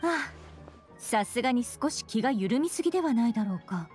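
A woman's voice: a little high, expressive, fairly quick, a little mellow, faint music behind, close to an everyday voice. A woman voicing a dutiful young female knight commander in an anime game, formal, composed and polite. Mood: earnest. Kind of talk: Japanese anime game voice acting.

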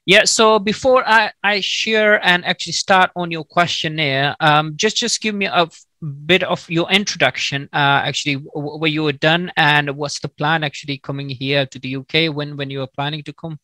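A man, a Pashto speaker, hosting a friendly, halting video call chat over a headset mic. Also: fairly high pitch, fairly even intonation, sharp tone, dry recording. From Pakistan. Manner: friendly, halting with many restarts. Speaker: host. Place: small indoor room.

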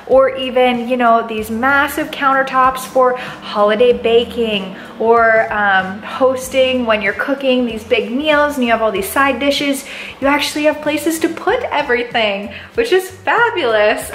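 A young woman with a bright, high voice giving a gushing walkthrough of a house for sale. She talks to a camera held at arm's length, and a faint room hum sits behind her. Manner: bubbly, enthusiastic, gushing. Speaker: real estate agent. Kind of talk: upbeat house tour vlog. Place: inside a large empty house. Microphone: handheld camera at arm's length.

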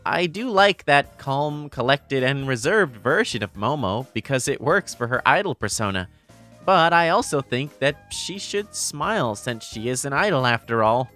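A man's voice: medium pitch, expressive, normal speed, sharp tone, faint music behind. A man playing an easygoing teenage boy narrating a romantic comedy manga story, explanatory and fondly exasperated. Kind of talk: scripted manga narration and dialogue.

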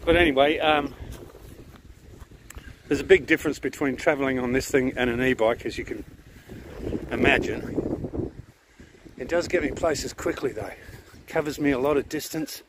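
A man's voice: mid-range voice, moderate pace, pauses often, noisy background. A middle-aged man with an Australian accent, chatting casually to a handheld camera while camping by a lake. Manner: relaxed, chatty, easygoing. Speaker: vlogger. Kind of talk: casual travel vlog narration. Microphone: handheld camera at arm's length.

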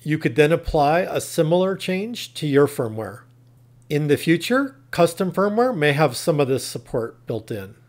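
Male tutorial narrator, pitch a little above average, plain methodical step-by-step instruction. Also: big pitch swings, normal speed. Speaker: tutorial host.

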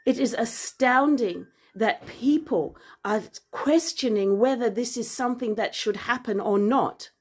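A woman's voice: a little high, slightly rough. Earnest Zambian-raised woman speaking to camera. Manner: earnest, measured, grows forceful and indignant. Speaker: vlogger and author. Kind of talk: impassioned personal address to camera. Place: indoor room with large windows and plants. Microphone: phone camera at arm's length.

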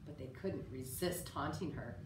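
A middle-aged woman reading a children's picture book aloud in an expressive, measured storytelling style. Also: sits low. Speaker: storyteller at a library. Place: indoor room with story sign. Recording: roomy, distant mic, faint room noise.

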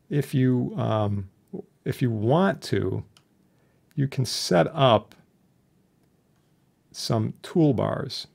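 A man instructing in an informal software tutorial, chatty and plain-spoken with a wry streak. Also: medium pitch, creaky. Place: indoors, voice-over for a screen recording.

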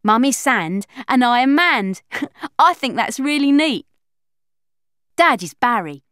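A woman's voice: on the high side, slow pace, clean tone, no room sound, clean studio sound. A woman narrating a children's audiobook in the first person as a chatty, confiding young girl. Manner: chatty, confiding, lively schoolgirl voice.

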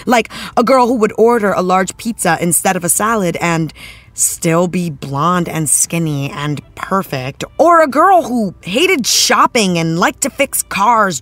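A woman's voice: mid-range voice, lively intonation, takes it slowly, a bit bright, dry recording. A woman playing an earnest, thoughtful TV actress in an interview, searching for her words in a conversational way. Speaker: interviewed actress, after-show guest.

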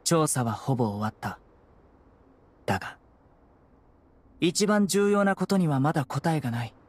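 A man's voice: a little high, sing-song, normal speed. An actor playing a stern, composed young male investigator in a Japanese game, with a grave, restrained delivery. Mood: grave, questioning. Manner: grave, restrained, matter-of-fact. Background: plucked-string background music.

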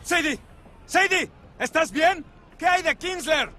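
Very high, urgent shouting man. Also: lively intonation, slow pace, full character voice. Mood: worried, urgent. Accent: Latin American Spanish. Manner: loud, urgent. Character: urgent, fired-up man shouting amid a besieged city. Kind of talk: dramatic audio drama acting. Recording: loud crowd around the voice.

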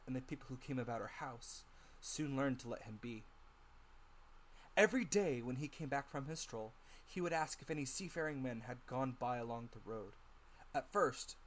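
Guy reading an audiobook dramatically, medium pitch, noisy recording. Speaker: narrator.

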